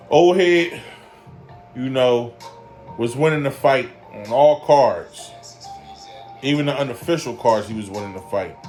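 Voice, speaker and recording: A man in his forties delivers a loose, rambling boxing breakdown, his voice sitting at a middle pitch. He hammers the same points again and again, padding his lines with easy fillers. Faint music plays quietly behind him. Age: 40s. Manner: emphatic, repeats his points for effect. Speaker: boxing commentary vlogger. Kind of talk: casual boxing breakdown vlog.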